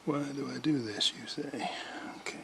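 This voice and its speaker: A man giving a casual hands-on repair walkthrough, halting and muttering to himself as he works. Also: medium pitch, gravelly, background noise. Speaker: hobbyist radio restorer, presenter.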